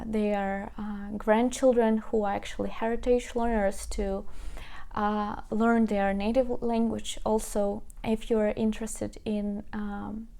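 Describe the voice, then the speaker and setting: A young woman whose first language is Ukrainian, a language teacher speaking earnestly to her viewers in hesitant English with many pauses and fillers. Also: fairly high pitch, gravelly, mellow. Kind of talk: personal talk to camera.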